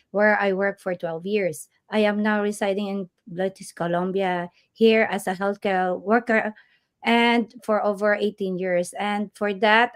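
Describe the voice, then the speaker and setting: A woman's voice: medium pitch, dry recording. A woman from the Philippines introducing herself earnestly on an online talk. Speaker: guest speaker.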